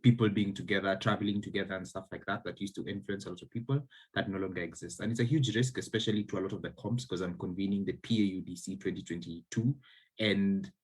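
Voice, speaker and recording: Young man, panelist, deep husky voice. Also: fairly even intonation, quick pace. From Kenya. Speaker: debate panelist. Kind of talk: casual panel discussion.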